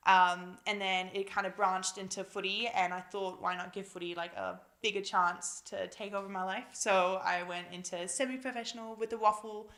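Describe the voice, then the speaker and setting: A young woman with a Malaysian accent, a newly drafted footballer, chatting excitedly in a casual interview at home, with lots of fillers and giggles. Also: medium pitch, moderate pace, few pauses. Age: young adult. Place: busy house at home.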